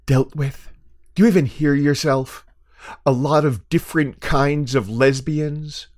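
A man's voice: a little high, measured pace, a little mellow, pitched above the performer's own voice, close to an everyday voice. An American man voicing a scolding, indignant adult sister in a condescending, accusatory way within a personal story.

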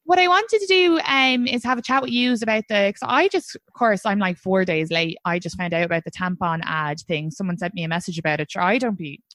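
A woman's voice: mid-range voice, fairly quick, hardly any pauses, fairly bright tone, no room sound. An Irish woman in her thirties hosting a podcast, chatting casually and laughing often. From Ireland. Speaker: podcast host. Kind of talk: casual chat.

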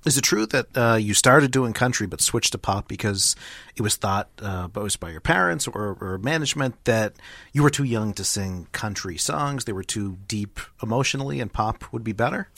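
A man interviewing a guest, asking long questions with halting "uh"s. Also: on the low side, fairly bright tone, dry recording.

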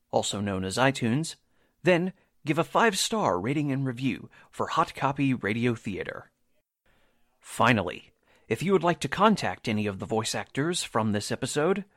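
A man serves as announcer for a radio drama. He reads his script formally and in a measured way. His voice sits at an average pitch. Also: fairly bright tone.

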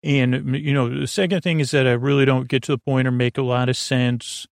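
A man hosting a sleep podcast, talking in a creaky, slow, meandering bedtime monologue full of tangents and restarts. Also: medium pitch, fairly even intonation, dry recording, clean studio sound.

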